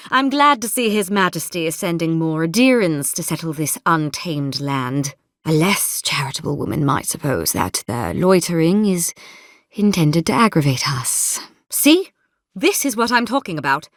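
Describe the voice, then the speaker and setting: A woman's voice: deep voice, no room sound, clean studio sound. A woman voicing a stern, haughty and zealous female military captain in a video game, speaking in a formal, clipped, imperious way. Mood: stern, indignant. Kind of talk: video game character dialogue.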